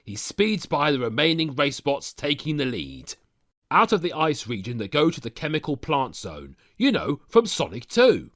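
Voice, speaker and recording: Animated man narrating with sardonic asides. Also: fairly high pitch, normal speed. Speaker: narrator of a fan audio story. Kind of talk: scripted comic recap narration.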